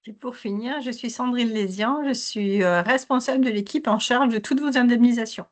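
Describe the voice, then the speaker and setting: A hoarse woman who manages a claims team, steadily explaining procedures in a training session over a video call. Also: a little high, normal speed.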